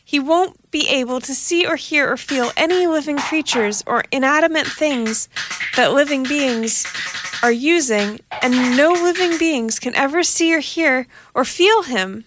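High sharp scolding mama cat, fierce and menacing, woman doing the voice. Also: measured pace, music in the background.

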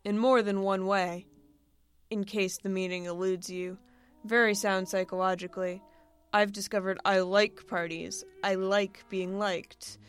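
A woman's voice: mid-range voice, level tone, a little slow, smooth, soft background music, lightly acted. A woman voicing a weary, sarcastic, furry male alien in a story, with dry, exasperated delivery. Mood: dry amusement.

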